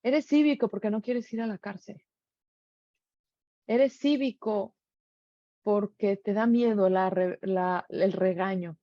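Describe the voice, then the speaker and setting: A woman's voice: medium pitch, slow pace, pauses often, dark tone, dry recording, lo-fi sound. A middle-aged woman with a Mexican accent giving an earnest reflective monologue, full of rhetorical questions. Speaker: speaker sharing reflections.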